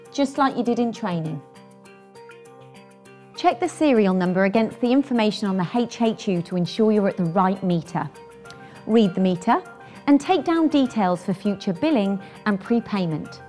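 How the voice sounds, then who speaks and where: A woman's voice: medium pitch, expressive, moderate pace, darkish tone, soft background music. A British woman in her thirties presenting scripted instructions for a training film.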